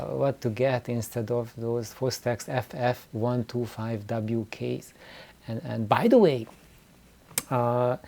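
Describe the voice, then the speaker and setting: A man talking casually and unscripted as a video host, in a halting, rambling way that often repeats words. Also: medium pitch, dark tone. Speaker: YouTube host of a DIY loudspeaker channel. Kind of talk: casual, unscripted vlog talk. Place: small room indoors.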